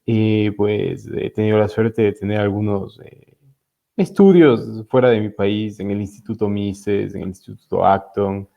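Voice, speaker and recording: A man's voice: on the low side, unhurried, gravelly, mellow. A young man from Ecuador, a law student, explaining politics as an interview guest in a hesitant way with many fillers, heard over a video call. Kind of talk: casual interview talk. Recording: dull lo-fi audio.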